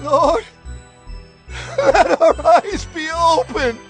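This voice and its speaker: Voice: very high-pitched, big pitch swings, faint music behind, full character voice. A woman playing a blind beggar who cries out desperately for mercy in a dramatized scripture recording. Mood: desperate pleading. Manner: slow, pleading, crying out.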